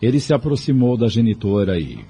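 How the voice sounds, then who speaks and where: A man with a Brazilian accent narrating a scripted audio drama in a measured, literary storytelling manner. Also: fairly low pitch, big pitch swings, warm tone, slightly rough.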